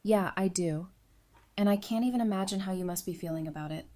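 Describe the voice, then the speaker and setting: An actress playing a supportive, caring, plain-spoken friend in an audio drama. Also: female voice, mid-range voice, fairly quick, mellow, natural voice. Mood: gentle sympathy.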